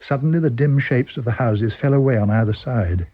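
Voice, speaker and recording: A man's voice: mid-range voice, a little fast, husky, narrow, tinny audio. A man with a British accent reading a story aloud as dramatic scripted narration. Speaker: story reader.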